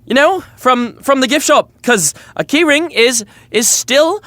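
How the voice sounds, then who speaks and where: A man's voice: very high voice, lively intonation, a little slow, pushed and bright, no room sound, full character voice. A man playing a cocky, flippant young prince in a romantic comedy audio drama, talking casually and stumbling when put on the spot. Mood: flustered. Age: young adult.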